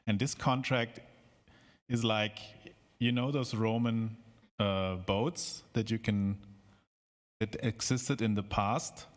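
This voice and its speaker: A man speaking up from the audience at a conference, halting with many restarts and joking asides. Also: lowish voice. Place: conference hall.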